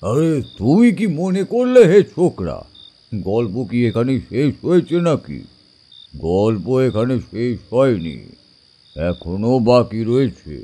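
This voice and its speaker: An actor playing an older village man who recounts an eerie tale from his youth in a suspenseful, deliberate manner with dramatic pauses. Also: medium pitch, sing-song, dark tone, husky, heavily acted. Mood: chiding, familiar. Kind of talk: dramatic horror audio story narration.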